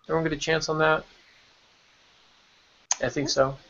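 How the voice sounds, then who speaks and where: A man's voice: fairly high pitch, flat delivery, moderate pace, a crowd in the background. Male podcast host, slightly muffled audio. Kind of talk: casual podcast chat.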